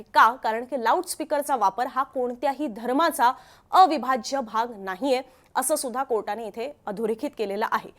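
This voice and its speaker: A woman news presenter with a Maharashtrian accent, giving explanatory commentary in an emphatic way, restating key points. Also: high voice.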